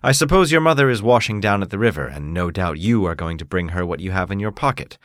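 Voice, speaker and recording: A man's voice: low-pitched, lively intonation, fast talker, a bit bright, no echo, studio quality. A man voicing a pompous, sanctimonious mayor who lectures in a scolding tone. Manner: preachy, scolding. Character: pompous, sanctimonious middle-aged male mayor.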